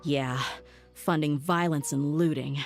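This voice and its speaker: A tough young woman mercenary from a video game, speaking in a lowish voice. She is confident and blunt with a sardonic edge. Faint music plays behind her. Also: fairly even intonation, slow pace. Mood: pensive. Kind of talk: English video game voice acting.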